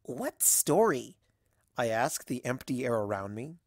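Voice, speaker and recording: A woman's voice: a bass voice, big pitch swings, a little slow, no room sound, studio quality, exaggerated voice. A woman voicing an anxious, bookish young female cartoon pony princess who tells her story in a wry, fretful, dramatic way. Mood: bewildered, exasperated. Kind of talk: fan fiction audio reading in character.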